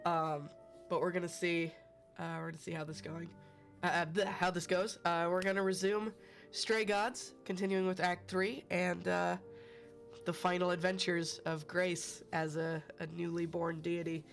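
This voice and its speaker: A man's voice: high-pitched, level tone, normal speed, gravelly, a bit bright, soft background music. A man with a cold and a stuffy voice, hosting a casual live stream and chatting with viewers by name. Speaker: livestream host.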